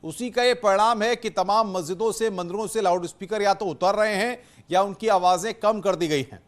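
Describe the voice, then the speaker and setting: A man's voice: sits high, moderate pace. A man anchoring a TV news debate, insistent and repeating his points as he moderates.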